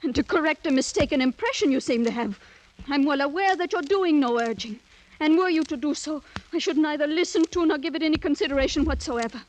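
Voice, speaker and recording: Woman acting a high-voiced proud young noblewoman. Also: fast, a little hiss or hum, close to an everyday voice, low-quality recording. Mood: indignant pride. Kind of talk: 1940s radio drama acting.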